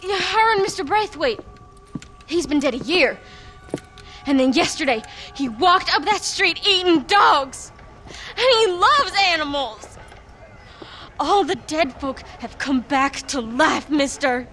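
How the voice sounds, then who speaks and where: Very high, bright Southern frontier girl. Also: lively intonation, slow pace, faint music behind, exaggerated voice. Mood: defensive, grieving. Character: distraught, naive rural frontier girl, loyal, plainspoken. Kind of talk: western video game voice acting.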